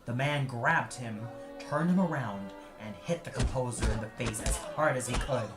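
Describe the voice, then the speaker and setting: A man narrating a dramatic scripted story in a solemn, deliberate, measured way. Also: mid-range voice. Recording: echoey room, music underneath. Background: music.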